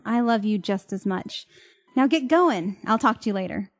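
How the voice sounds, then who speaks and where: A woman's voice: mid-range voice, animated, moderate pace, big-room sound, lightly acted. A woman acting an affectionate, playful young wife in an audio play, teasing and upbeat. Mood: excited, loving.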